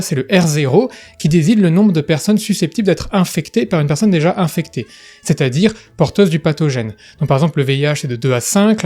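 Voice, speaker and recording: A man's voice: on the high side, darkish tone. A man hosting an educational video, explaining history in a casual, chatty way with frequent asides.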